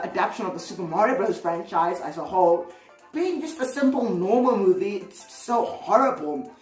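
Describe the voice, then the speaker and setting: A woman's voice: on the low side, a little mellow, far from the mic. Female video host, rambling and exclamatory, recorded off-mic in an echoey room with music underneath. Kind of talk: casual opinionated video commentary.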